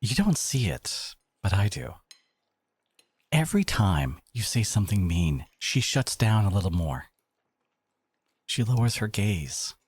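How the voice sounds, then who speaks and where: A man's voice: on the low side, very animated, a little mellow, breathy edge, dry recording, heavily acted. Guy playing protective boyfriend in asmr roleplay audio, sarcastic n emphatic, voice swings a lot, really dry close sound. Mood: indignant, resolute. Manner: emphatic, sarcastic, dramatic pauses. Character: protective devoted boyfriend, indignant, sarcastic, tender.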